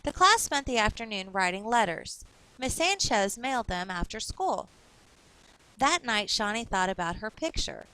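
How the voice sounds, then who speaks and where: Female teacher, slightly high pitch, read-aloud storytelling for a young class, instructive delivery. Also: sing-song, normal speed, sharp tone, clear, resonant tone, poor audio.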